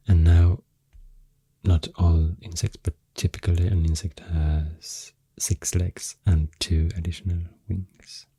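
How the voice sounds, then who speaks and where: A man with a Swedish accent softly rambling through an ASMR explanation, breathy and often near a whisper, soothing and hesitant in manner. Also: deep voice, dry recording. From Sweden. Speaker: ASMR host.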